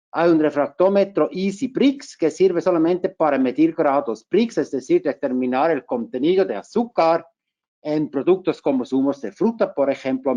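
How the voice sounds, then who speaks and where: Swiss German man in his second language, fairly high voice, careful technical webinar delivery, muffled lo-fi audio. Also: warm tone. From Switzerland. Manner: careful, with frequent restarts and fillers. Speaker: market manager presenting a webinar.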